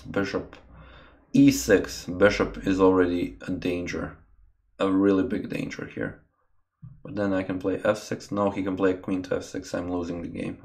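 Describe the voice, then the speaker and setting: A man's voice: sits low, gravelly, darkish tone. A young man from Croatia, streaming live chess from a small room and thinking aloud about moves as he chats with viewers through a webcam mic. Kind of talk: live game commentary with chat.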